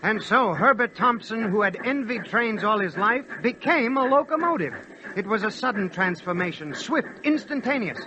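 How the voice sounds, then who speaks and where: A dramatic, wry storyteller narrates in a very high-pitched voice, in 1940s radio comedy style. The old recording is dull and clearly noisy. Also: male voice, animated, moderate pace. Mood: urgent, wry.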